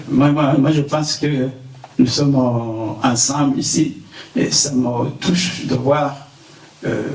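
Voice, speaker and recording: A man's voice: mid-range voice, creaky, darkish tone. A man from Burundi speaking at a press conference, earnest and hesitant with many fillers. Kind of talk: unscripted remarks at a press conference. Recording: echoey room, distant mic, faint hiss.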